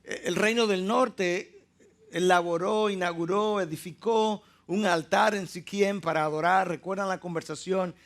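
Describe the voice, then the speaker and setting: A man preaching a sermon, teaching emphatically and slowing down to stress key words. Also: high-pitched, fairly even intonation.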